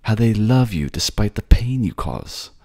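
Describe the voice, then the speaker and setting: A man's voice: on the low side, sing-song, a little slow, vocal fry, dark tone, voice pushed up, exaggerated voice. A man voicing a protective, affectionate prince in an audio romance drama, with theatrical, courtly phrasing and a put-on accent. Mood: reproachful, firm. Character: protective, tender prince soothing his beloved, scolding rivals.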